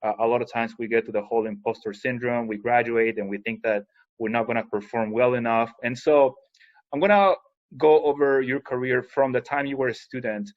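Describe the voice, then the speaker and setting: A man's voice: medium pitch, steady tone. Chatty man host, dull recording. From Peru. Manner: chatty, rambling, quick asides. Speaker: podcast host. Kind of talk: casual interview talk.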